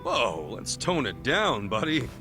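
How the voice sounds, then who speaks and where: An actor playing an earnest, excitable, loyal friend in a video game, with an eager, informal way of talking. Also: male voice, medium pitch, slow pace, over music, heavily acted. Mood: evasive. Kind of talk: dramatic game cutscene acting.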